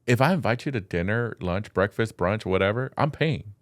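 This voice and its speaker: A man hosting a podcast, asking brief questions in a relaxed interview chat. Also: lowish voice, moderate pace, no echo. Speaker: podcast host.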